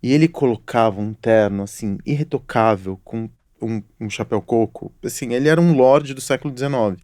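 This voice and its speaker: A man's voice: medium pitch, brisk, mellow, no echo, studio quality. A middle-aged man hosting a casual interview podcast, telling stories in a reflective, philosophical way. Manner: reflective, storytelling, apologetic asides. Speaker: podcast host.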